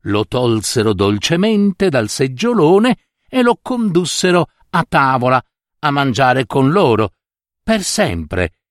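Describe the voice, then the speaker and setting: A male storyteller narrates a fairy tale with theatrical flair. His pitch swings widely while he takes his time, setting out short, deliberate phrases in a very dry recording. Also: sing-song, no echo. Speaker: storyteller and adapter.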